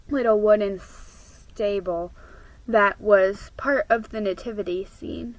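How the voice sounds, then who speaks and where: A woman giving a deliberate dramatic reading of a story, with pauses. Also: mid-range voice, fairly bright tone, no echo, poor audio. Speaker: storybook reader. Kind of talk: scripted dramatic story narration.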